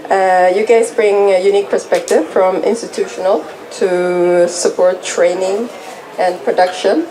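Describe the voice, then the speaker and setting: A woman filmmaker moderating a festival panel, talking in a halting way with simple phrasing. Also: medium pitch, steady tone, warm tone, a little hiss or hum, rough recording. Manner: halting, non-native phrasing.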